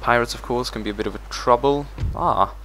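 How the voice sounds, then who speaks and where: A man's voice: medium pitch, brisk, a bit bright, slight background noise. A man hosting a let's play, talking through his strategy game moves as he thinks them over. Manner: thinks aloud while managing a strategy game. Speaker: gaming channel host.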